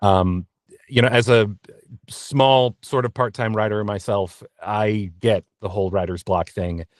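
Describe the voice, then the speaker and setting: A man with a fairly low voice talks candidly as an interview guest. He is reflective and self-deprecating, often halting as he searches for words. The sound is dry, from a small padded recording booth. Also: fairly even intonation, dry recording. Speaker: guest voice actor being interviewed.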